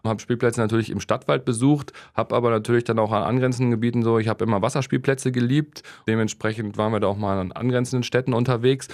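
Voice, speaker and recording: A man's voice: mid-range voice, fairly even intonation, fast, hardly any pauses, a little mellow. A man in his thirties from Krefeld, talking casually and plainly as co-host, with hesitant fillers. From Krefeld, Germany. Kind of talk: casual chat, question round.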